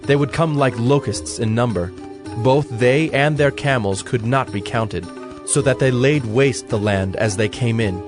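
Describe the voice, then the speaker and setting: A man narrating scripture from a script in a measured, storytelling manner. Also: medium pitch, over music.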